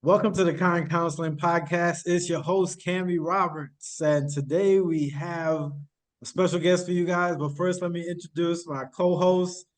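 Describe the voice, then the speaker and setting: A man's voice: on the high side, steady tone, moderate pace. A man hosting a counseling podcast, asking loose, rambling questions full of fillers. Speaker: podcast host. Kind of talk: casual interview hosting.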